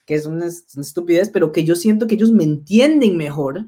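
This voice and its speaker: A man's voice: sits high, animated, fast talker, mellow. A man, a comedian, chatting casually on a podcast in slangy, profane speech. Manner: slangy, profane, drifts into accents.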